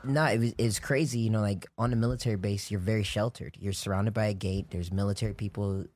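A man's voice: fairly low pitch, fairly even intonation, quick pace, darkish tone, no echo. A young man who grew up on a military base overseas, chatting casually and telling stories with lots of fillers and restarts. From United States, grew up on a military base in Japan. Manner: storytelling, many fillers and restarts. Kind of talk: casual chat.

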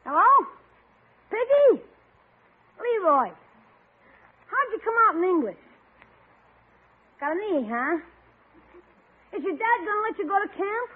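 An actor playing a young, scheming schoolboy nephew in a 1950s radio sitcom, slangy and boyish. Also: male voice, extremely high pitch, sing-song, unhurried, long pauses, exaggerated voice. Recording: tinny old radio broadcast.

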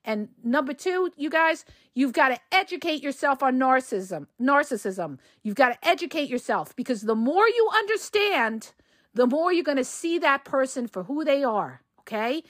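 A woman coach talks straight to her listeners, her voice hoarse from a cold. She pitches a little high for a woman and speaks emphatically, checking in with them often. The sound is dry and close, with no room around it. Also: sing-song, normal speed, a bit bright, dry recording. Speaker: relationship coach and podcast host. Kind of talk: casual advice monologue.

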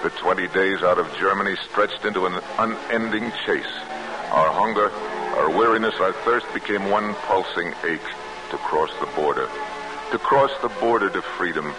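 A low-voiced, grim and determined fugitive, played by a male actor, recounts his flight in 1940s radio drama style. Music runs behind him on an old broadcast recording. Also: normal speed, natural voice, poor audio. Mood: grim, weary.

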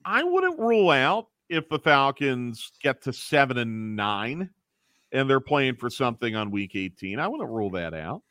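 A man's voice: medium pitch, wildly swooping pitch, moderate pace, pushed and bright, no room sound, clean studio sound. A man who runs a radio station's programming, talking casually on air and thinking aloud with restarts.

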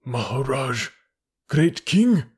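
A man voicing a frightened, servile old village hunter with a husky voice in a storybook reading. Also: medium pitch, moderate pace, a little mellow, natural voice. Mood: fearful pleading.

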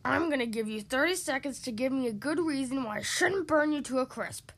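A woman plays a huge male storybook dragon, blustering and childlike. The delivery is booming and exaggerated, with big leaps in pitch for a young audience. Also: lively intonation, a little slow, gravelly, sharp tone, exaggerated voice. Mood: menacing.

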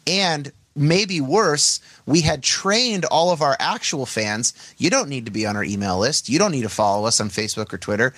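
Band guest, male, casual storyteller, lively delivery, dry mic. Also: moderate pace, hardly any pauses, no echo. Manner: animated, chatty, pitch jumps when quoting. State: has a head cold.

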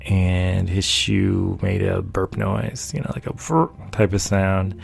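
A man's voice: sits low, steady tone, slow pace, gravelly. A man from North Carolina, a painter chatting casually to camera in a rambling, amused storytelling way. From North Carolina, USA. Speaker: painter and video maker. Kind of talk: casual talking-head chat.

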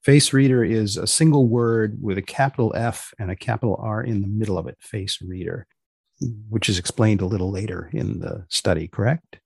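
Male podcast host, slightly low and gravelly, deadpan and deliberate, dry studio sound. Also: dry recording. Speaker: podcast host and magazine editor. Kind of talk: podcast hosting, scripted intro and interviewing.

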